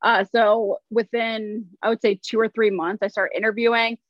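A woman's voice: fairly high pitch, level tone, normal speed, no echo, lo-fi sound. A woman from Ohio speaking casually and conversationally as a guest in a podcast interview. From Ohio, United States.

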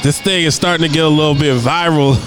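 A man's voice: a little high, fairly even intonation, quick pace, rough recording. A man hosting a casual internet radio show, talking in a laid-back way and often repeating words as he thinks aloud. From United States. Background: music playing.

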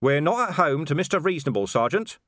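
A man as a calm, clipped watch commander. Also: a little high, fast talker, no room sound, clean studio sound, voice pushed up. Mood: calm commanding. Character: weary cynical male watch commander.

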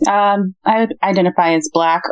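A woman from Boston answering interview questions in a measured, thoughtful way. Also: medium pitch, fairly even intonation, smooth, no echo, rough recording. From Boston, USA. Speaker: guest.

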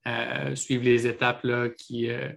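A man with a Quebec French accent co-hosts in a calm, unhurried way, pausing often with fillers. His voice stays mid-pitched and very even, with little rise or fall. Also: vocal fry. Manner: calm, hesitant, frequent fillers. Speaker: podcast co-host. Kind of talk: casual legal podcast chat.

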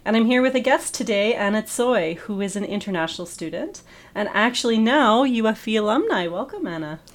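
A woman's voice: mid-range voice, expressive, a little fast. A woman hosting a podcast from a radio studio, encouraging and friendly with many fillers. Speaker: podcast host. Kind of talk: podcast interview.

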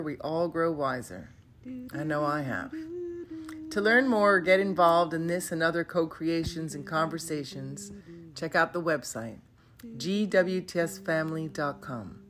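A woman hosting a podcast, talking in an effusive, chatty, enthusiastic way. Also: low-pitched. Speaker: podcast host. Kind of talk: casual podcast chat and intro.

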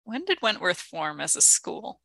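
A woman co-hosts a conversational podcast in a bright, forward voice that sits slightly low. The sound is dry and close, and she phrases her thoughts in an articulate, considered way. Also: very animated, a little slow, gravelly, no echo. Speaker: podcast co-host. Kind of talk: conversational podcast discussion of teaching.

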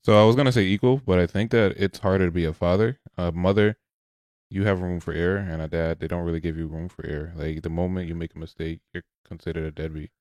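A young man co-hosting a podcast, relaxed and opinionated, swearing casually in chat. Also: deep voice, quick pace, no room sound. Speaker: podcast co-host.

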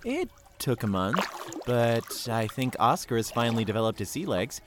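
Animated, lovestruck young man played by an actor. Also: mid-range voice, normal speed, background noise, lightly acted. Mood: playful, glad. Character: carefree young man smitten with a mermaid. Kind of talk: audio drama acting.

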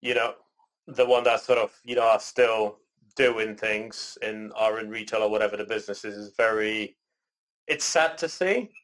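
A man raised in Canada, co-hosting a podcast in relaxed casual chat, rambling with lots of "you know" and "sort of.". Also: fairly low pitch, normal speed, low-quality recording. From born in Poland, raised in Canada. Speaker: podcast co-host.

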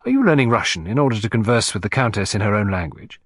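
An earnest, proper gentleman sidekick, voiced by a male narrator, gullible and given to exclaiming. His pitch is animated and the tone slightly husky, in a storybook reading. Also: quick pace, dry recording, clean studio sound. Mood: surprised, earnest.

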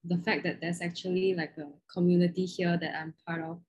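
A woman's voice: fairly low pitch, little pitch movement, a little fast, a little mellow, husky, muffled recording. A young woman from Malaysia chatting casually as a podcast co-host, rambling with lots of "like". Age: young adult. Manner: rambling, heavy use of "like". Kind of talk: casual chat.

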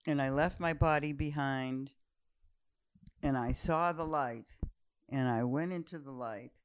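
Calm, reflective older woman in her seventies, smooth tone, dry studio sound. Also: sits low, no room sound. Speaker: guest. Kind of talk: relaxed storytelling conversation.

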